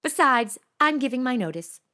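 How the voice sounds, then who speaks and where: A woman's voice: sits high, lively intonation, moderate pace, bright, forceful tone. A woman playing a sassy, irreverent, blunt young temp in a comedy audio drama, sarcastic and slangy.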